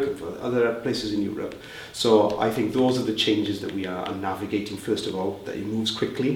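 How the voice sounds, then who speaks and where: A man with a Welsh accent talks through interview answers, pausing on fillers and restarting his sentences. His voice sits on the low side. The mic is set back, so the sound is roomy, with faint background ambience. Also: recorded from a distance, slight background noise. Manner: conversational, with frequent fillers and restarts. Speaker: head of a reseller group.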